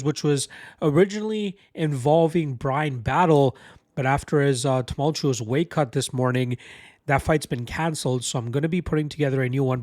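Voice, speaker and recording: A man's voice: medium pitch, a little mellow, no room sound, clean studio sound. A man giving a casual, confident breakdown of fight betting picks, talking through a stuffy nose from a cold. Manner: brisk, confident, bettor's slang. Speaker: MMA betting analyst.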